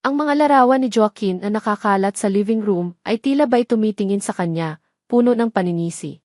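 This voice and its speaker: A woman's voice: medium pitch, a little fast, darkish tone, no echo, clean studio sound. A woman reading a scripted story as the narrator of an audio drama.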